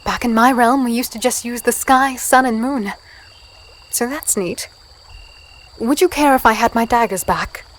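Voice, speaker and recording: Casting: actress as young human captive heroine, higher-pitched, hesitant and curious, fantasy audio drama with insect noise behind. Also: female voice, unhurried, long pauses, natural voice. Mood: polite, guarded. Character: guarded, curious young human woman, narrating her captivity. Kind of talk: first-person audio drama narration and dialogue.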